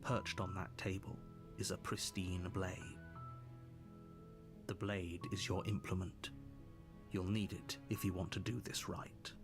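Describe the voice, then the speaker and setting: A stern game narrator with a lowish, measured voice, dry and commanding. Clear game music runs under every line. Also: male voice, little pitch movement. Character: stern male narrator voice guiding the hero.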